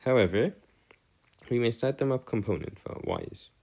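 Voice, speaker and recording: A man tutoring in an improvised explanatory lesson, with formal wording and halting, self-correcting delivery. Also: mid-range voice, huge pitch swings, vocal fry, darkish tone, slightly rough. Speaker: math tutor.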